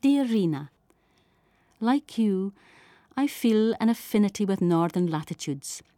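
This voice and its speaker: A woman's voice: mid-range voice, animated, a little slow, dark tone, no echo, studio quality. A woman with a Shetland Scottish accent reading her own literary writing aloud, as a poet and letter writer.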